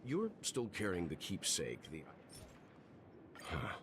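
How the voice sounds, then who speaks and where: Deep-voiced dependable mentor. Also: male voice, wildly swooping pitch, takes it slowly, noisy background, exaggerated voice. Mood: serious. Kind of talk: video game voice acting.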